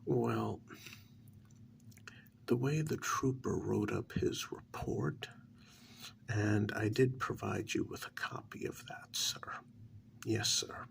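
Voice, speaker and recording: A man playing a deferential agent reporting to his superior in a soft, half-whispered ASMR role-play, with a measured, halting delivery and a gravelly, breathy voice. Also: fairly low pitch, exaggerated voice.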